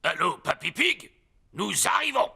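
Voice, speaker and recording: A man's voice: high voice, big pitch swings, measured pace, creaky, bright, forceful tone, slightly rough, full character voice. A man voicing a jolly old grandfather sea dog who plays pirate in a French cartoon, talking like a theatrical storyteller. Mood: playful, dramatic.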